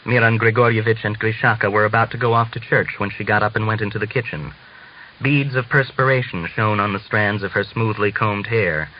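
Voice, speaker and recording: A man reading a literary novel aloud as scripted narration, in a measured manner. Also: fairly low pitch, steady tone. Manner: measured, acts out dialogue. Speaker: audiobook reader. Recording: muffled lo-fi audio with clear hiss.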